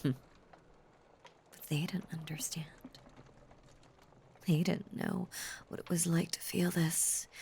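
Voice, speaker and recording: A woman playing a possessive, obsessively devoted female werewolf lover in an intimate roleplay audio, breathy and whispery, with lingering pauses. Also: lowish voice, a little mellow, exaggerated voice. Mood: wounded, yearning. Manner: slow, lingering, intimate delivery with long pauses.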